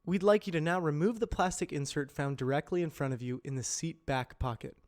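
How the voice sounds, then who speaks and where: A man with a somewhat high voice narrates scripted explainer copy. He speaks in a measured way and enunciates each term clearly, in the manner of an educational or corporate voice-over. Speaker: amateur voice actor practicing narration.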